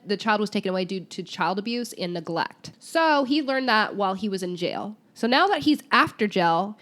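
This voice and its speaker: Stuffy-nosed woman chatting casually. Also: mid-range voice. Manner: chatty, teasing, laughs often. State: sick, stuffy nose. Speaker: podcast co-host. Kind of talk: casual true crime chat.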